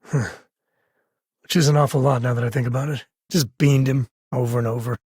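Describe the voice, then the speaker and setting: An actor playing a wry, easygoing space cowboy explorer in a video game, a man with a husky, raspy voice and folksy, laid-back phrasing. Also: mid-range voice, steady tone, fairly quick, darkish tone, no echo, studio quality, lightly acted. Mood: conversational. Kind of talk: video game character voice lines.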